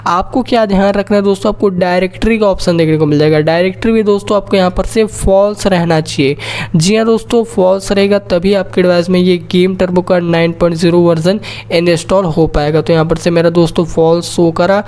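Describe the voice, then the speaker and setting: Indian tech tutorial host, mid pitch. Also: steady tone, fairly quick, darkish tone. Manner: repetitive verbal address to viewers as friends. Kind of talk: step-by-step screen-recording tutorial narration.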